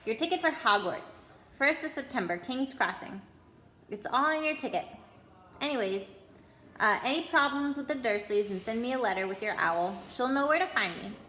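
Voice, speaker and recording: A woman's voice: a little high, expressive, normal speed, bright, forceful tone, a little hiss or hum. Woman as a kindly big man, echoey room. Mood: kind, reassuring. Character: kindly big bearded man, reassuring gentle protector.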